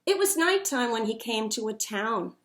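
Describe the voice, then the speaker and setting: A woman reading a picture book aloud to children in an expressive, dramatic storyteller's manner. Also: a little high, normal speed, a little hiss or hum.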